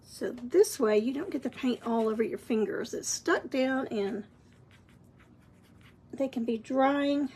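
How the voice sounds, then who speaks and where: High-voiced husky woman. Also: slow pace, a little mellow. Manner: chatty, encouraging, folksy. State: scratchy voice, clearing throat. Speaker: craft painting instructor. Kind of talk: live-streamed painting tutorial.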